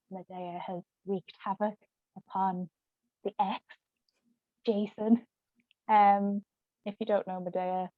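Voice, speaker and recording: A woman's voice: medium pitch, no echo. A woman guest chatting casually and telling anecdotes about her own work. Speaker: guest, actress and author. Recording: thin phone-like audio, dry.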